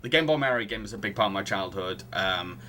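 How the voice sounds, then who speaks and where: A man's voice: fairly low pitch, lively intonation, fast, sharp tone, slight background noise. A man hosting a casual podcast discussion, often chuckling as he talks. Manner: chuckles often while talking. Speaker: podcast host.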